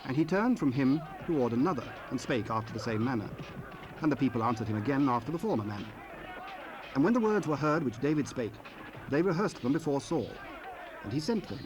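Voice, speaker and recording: A man narrating scripture in a formal, solemn and measured way. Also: medium pitch, lively intonation. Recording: faintly noisy cassette rip.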